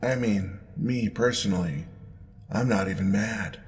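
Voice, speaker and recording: A man playing a smug, indulgent demon lord in an audio roleplay, with a deep gravelly voice and a playful, purring, drawn-out teasing manner. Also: a little mellow, natural voice. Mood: amused, coaxing. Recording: long reverb, clear background noise.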